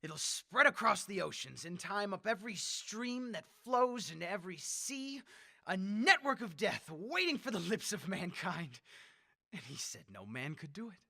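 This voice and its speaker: A megalomaniac madman, intensely forceful and bright. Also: sits high, big pitch swings, moderate pace, creaky, dry recording, clean studio sound, full character voice. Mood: smug, taunting. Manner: theatrical, gloating, swinging from hushed menace to shrieks. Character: megalomaniac mad male leader plotting mass death. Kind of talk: old-time radio horror drama acting.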